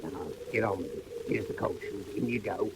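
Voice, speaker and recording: An actor playing a brutal, gruff burglar who threatens and snarls in a 1940s audio drama. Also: male voice, on the low side, brisk, lightly acted. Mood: low menace. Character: brutal, menacing male burglar, adult, bullying and violent. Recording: noisy vintage 1940s record.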